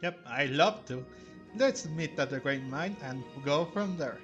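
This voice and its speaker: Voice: mid-range voice, animated, a little slow, highs cut off, faint music behind. A game streamer giving casual commentary, rambling a little and reading dialogue aloud in a hesitant way.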